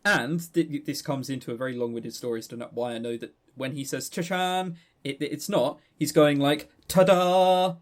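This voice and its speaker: Higher-voiced man, halting and jokey. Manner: halting, stammering repetitions. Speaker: podcast co-host. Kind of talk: casual chat.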